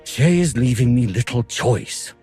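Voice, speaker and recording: An actor playing a grave, guarded Sith lord in a video game, measured and serious, with a husky voice. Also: male voice, medium pitch, lively intonation, mellow, faint music behind. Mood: grave, wary. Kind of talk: video game cutscene acting.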